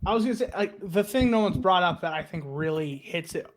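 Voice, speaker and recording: A man co-hosting a casual podcast, chatting in a teasing, animated way. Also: high-pitched, fairly quick. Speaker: podcast co-host. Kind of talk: casual chat, bantering. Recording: noisy online call recording.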